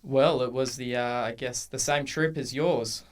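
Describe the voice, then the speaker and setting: A man in his twenties with an Australian accent, co-hosting a casual podcast and chatting in a relaxed way with frequent ums and restarts. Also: medium pitch, normal speed. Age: 20s. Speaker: podcast co-host. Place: university room.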